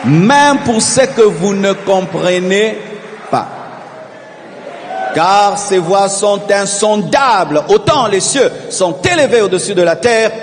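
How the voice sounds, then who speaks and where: Pastor preaching, big echoey hall, call and response, gets loud on repeats. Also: male voice, high voice, animated, slow, faint music behind. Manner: preaching with call-and-response, rising to shouted repetitions. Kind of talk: sermon.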